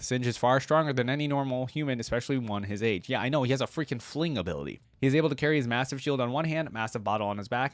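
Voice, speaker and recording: A man's voice: medium pitch, dry recording. Casual man hosting a reaction video, dry sound. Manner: informal, slangy asides between read passages. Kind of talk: reading a game lore page aloud with casual reaction commentary.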